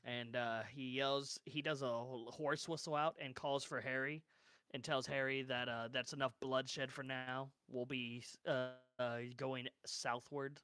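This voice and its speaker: A man's voice: medium pitch, fairly even intonation, measured pace, sharp tone, clear, resonant tone, no echo. A man playing a tabletop roleplaying game, casually describing what his character does.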